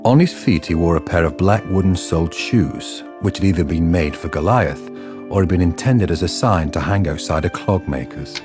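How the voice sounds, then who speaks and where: A man's voice: low-pitched, expressive, moderate pace. A man reading scripted literary narration for a radio drama. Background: music.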